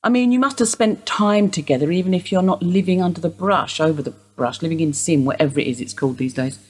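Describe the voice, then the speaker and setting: A husky-voiced older widow, played by a woman, speaks in a radio drama. She is dry, blunt and unsentimental under police questioning. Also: on the low side, quick pace. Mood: wry. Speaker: actor in a radio play.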